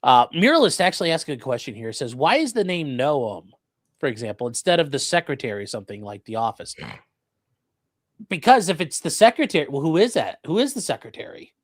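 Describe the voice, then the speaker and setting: Guy doing casual legal commentary, really lively pitch, close dry sound, wry little jokes. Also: fairly quick, no echo. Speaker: live-stream host reading viewer questions.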